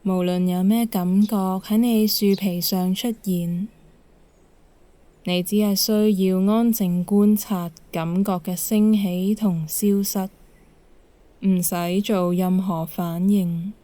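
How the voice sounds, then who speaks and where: A woman leads a guided meditation as an instructor, calm and measured, giving gentle instructions with pauses. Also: medium pitch.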